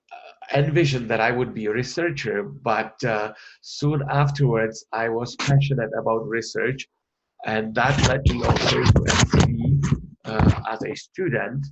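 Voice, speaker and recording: A man's voice: medium pitch, measured pace, highs cut off. A man from the Republic, presenting research in an online webinar, hesitant with many fillers and restarts. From Czech Republic.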